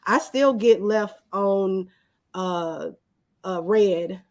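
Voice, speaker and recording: A woman's voice: mid-range voice, extremely slow. A woman in her thirties talking casually as an interview guest, in a candid, humble, reflective way. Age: 30s. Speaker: guest, videographer.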